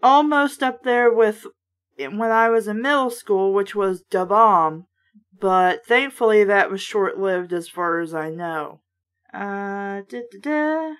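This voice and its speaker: A woman's voice: mid-range voice, slow pace. A woman reading a children's book aloud and breaking in with sarcastic, blunt, casual commentary. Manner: sardonic, blunt, sweary.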